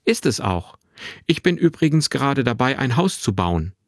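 A man voicing a confident, carefree boy of about twelve in a narrated story, with a clear, bright tone and a forthright manner. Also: mid-range voice, big pitch swings, moderate pace, no room sound, studio quality, voice pushed up. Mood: eager, proud. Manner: forthright, unembarrassed, boyishly matter-of-fact. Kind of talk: acted dialogue in a narrated story.

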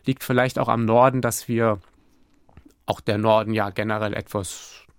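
A man's voice: lowish voice, steady tone, normal speed, clean tone, no room sound, studio quality. A man from Hamburg, a church planter, answering interview questions in a thoughtful way, with restarts and fillers. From Hamburg, Germany.

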